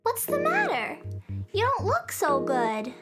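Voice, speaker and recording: A woman's voice: extremely high pitch, wildly swooping pitch, a little slow, music in the background, heavily acted. A woman playing a tiny, cheerful fairy friend in a children's radio drama, speaking in a sweet, childlike, sympathetic manner. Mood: curious, concerned.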